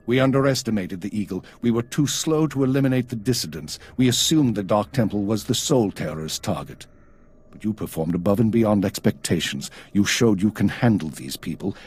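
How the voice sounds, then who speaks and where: A stern spymaster in a video game. His voice sits on the low side and has a husky grain. He gives orders in a clipped, cool and authoritative way. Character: stern male spymaster, commanding and coolly measured. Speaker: intelligence chief.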